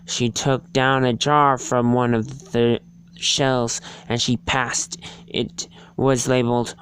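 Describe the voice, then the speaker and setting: Slow, halting storybook reader with clear voice. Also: medium pitch, little pitch movement, fairly bright tone, no echo. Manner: halting, stumbling over words. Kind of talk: amateur dramatic reading of a storybook.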